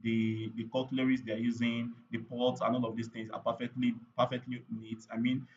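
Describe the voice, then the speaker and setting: A man's voice: medium pitch, level tone, a little fast, a little hiss or hum. Chatty man with muffled audio. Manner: chatty and repetitive, with many fillers and rhetorical "right?". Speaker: paid ads trainer. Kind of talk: live online training session.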